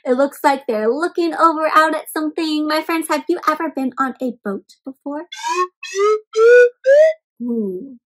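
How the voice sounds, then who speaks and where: A young woman hosting an interactive read-aloud for small children, expressive and full of questions addressed straight to her listeners. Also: sits high, measured pace, pauses often, a little hiss or hum. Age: young adult.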